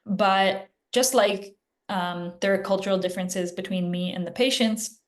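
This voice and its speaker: A woman physician answers questions in a relaxed, conversational way. Her voice sits at a medium pitch and is broken up by frequent "like" and "um". She is heard through a video call. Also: measured pace. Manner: chatty, filler-heavy, frequent "like" and "um". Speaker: guest physician. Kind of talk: casual interview answers.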